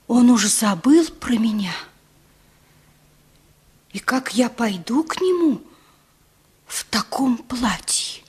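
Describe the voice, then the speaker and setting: A young woman, brash yet anxious and down on her luck, muses to herself in a radio play. Her voice is high and lively, with big swings in pitch. She draws her words out very slowly and theatrically. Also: breathy edge, full character voice. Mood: anxious, ashamed. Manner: theatrical musing to herself, slow and drawn out.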